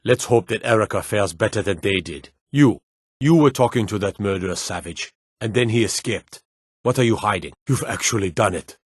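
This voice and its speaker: An actor playing a gruff, suspicious city guard in a fantasy video game, speaking in a curt, wry, world-weary way. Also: male voice, on the low side, moderate pace, no room sound, clean studio sound. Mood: bitter, then solemn respect. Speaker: town guard. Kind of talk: video game character voice lines.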